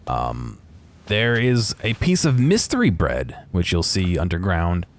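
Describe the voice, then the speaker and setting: Low-pitched man giving casual game commentary. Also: very animated, unhurried, creaky. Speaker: gaming video commentator.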